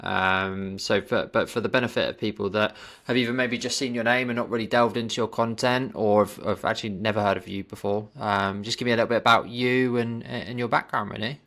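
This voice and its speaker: A man's voice: fairly low pitch, steady tone, brisk, few pauses, fairly bright tone. A man hosting a leadership interview, asking long, loosely worded questions with frequent restarts. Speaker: podcast host.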